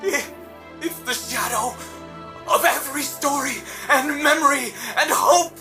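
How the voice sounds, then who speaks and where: An actress playing an awestruck, fervent visionary in a sci-fi game, speaking with reverence. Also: female voice, high voice, measured pace, over music. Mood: fervent wonder. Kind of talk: video game voice acting.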